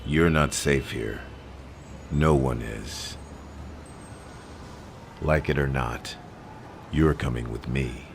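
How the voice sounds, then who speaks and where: An intimidating, distrustful vigilante in an audio roleplay. He growls in an extremely deep, gravelly voice, terse and commanding, with noisy ambience behind him. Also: heavily acted.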